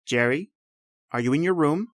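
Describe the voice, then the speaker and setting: A man's voice: fairly high pitch, normal speed, background noise, close to an everyday voice. A man playing a tense, accusing husband under suspicion in an old-time radio style horror drama, delivered in a confrontational way. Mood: tense, conspiratorial. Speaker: actor in an audio drama.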